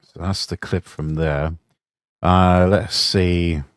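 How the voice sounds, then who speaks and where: A man with a very low voice hosts a casual reaction stream. He talks in loose, halting bursts with offhand swearing. The sound is close and dry with no room. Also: fairly even intonation, clear, resonant tone, no echo. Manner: casual, hesitant, profane asides. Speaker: host reacting to clips.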